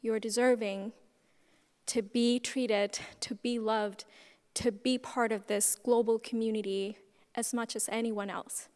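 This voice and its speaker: A woman speaking earnestly in public, with emphatic and deliberate phrasing. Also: fairly high pitch, steady tone, a little mellow. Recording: echoey hall sound.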